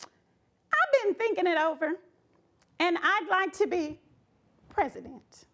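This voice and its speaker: Woman playing a sassy, high-pitched little schoolgirl. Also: very animated, slow pace, fairly bright tone, full character voice. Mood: determined. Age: child figure. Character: sassy indignant little schoolgirl who wants to be president.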